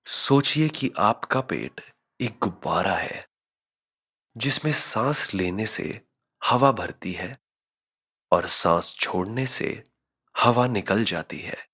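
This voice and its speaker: Male meditation guide: low register, very slow measured delivery, husky edge to the tone. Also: sharp tone. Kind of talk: scripted guided meditation narration.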